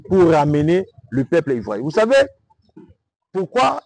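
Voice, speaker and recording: A man's voice: fairly high pitch, big pitch swings, normal speed, vocal fry, noisy background. A man with a Congolese French accent from Kinshasa, a self-styled prophet answering interview questions in a preaching manner, emphatic and repetitive, often asking "vous comprenez?".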